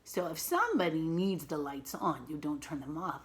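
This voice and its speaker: A woman reading a picture book aloud to children as a teacher, expressively and patiently, pausing to explain and ask questions. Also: sits low, sing-song. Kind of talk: animated read-aloud with simple explanatory asides.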